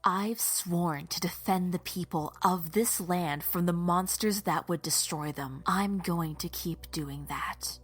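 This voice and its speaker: A woman playing a devout, defiant young warrior in a fantasy audio drama, speaking earnestly and with deliberate conviction. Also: lowish voice, slightly rough.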